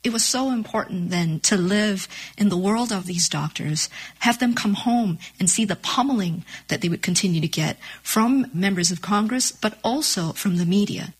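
A woman's voice: medium pitch, normal speed, slightly rough. A woman speaking conversationally as a filmmaker being interviewed.

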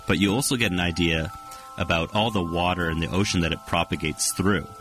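Male oceanographer, very deep voice, calm explainer, faint music under. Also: a little fast. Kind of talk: interview excerpt.

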